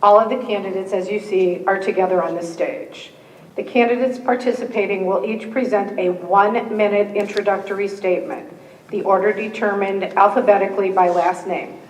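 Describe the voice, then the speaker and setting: A woman, moderating a candidate forum, reading the rules with friendly joking asides and a slightly hoarse voice. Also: medium pitch, steady tone, moderate pace, a little mellow, a little hiss or hum. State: slightly hoarse, losing her voice. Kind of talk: reading scripted opening rules with casual asides. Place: meeting room with a live audience. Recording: echoey hall, distant mic.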